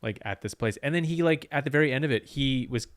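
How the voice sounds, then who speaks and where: A man's voice: medium pitch, fast. A man, a comedian, telling a rambling story in casual chat and acting out the quoted lines.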